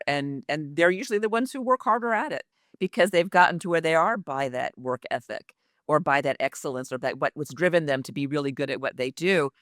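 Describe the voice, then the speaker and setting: A woman's voice: deep voice, fairly bright tone, dry recording, clean studio sound. A woman executive communication coach speaking as an interview guest in an earnest, reflective way.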